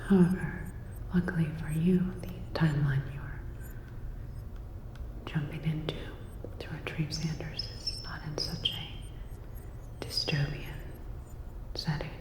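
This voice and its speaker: Casting: woman as a polite sci-fi repair technician for ASMR roleplay, low-pitched whisper, unhurried and gently attentive, long reverb. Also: steady tone. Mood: soft, reflective. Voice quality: soft breathy whisper. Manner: slow, halting, gently attentive.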